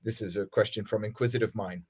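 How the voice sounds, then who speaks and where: A man's voice: lowish voice, steady tone, fast, darkish tone, breathy edge. A man hosting a livestream, moderating a question-and-answer conversation and restarting tentatively as he steers the discussion. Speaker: livestream host.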